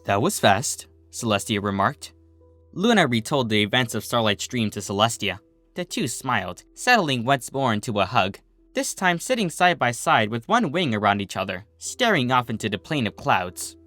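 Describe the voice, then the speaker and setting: A man narrates a scripted story in a bright voice. His pitch moves in lively swings, and faint music plays behind him. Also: moderate pace, no room sound. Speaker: storyteller reading fan fiction aloud.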